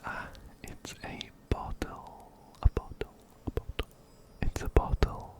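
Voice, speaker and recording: Slow, whispering male ASMR gamer. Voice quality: breathy unvoiced whisper. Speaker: ASMR video host. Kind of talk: whispered ASMR game playthrough, reading game text aloud. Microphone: close-up binaural microphone, ear to ear.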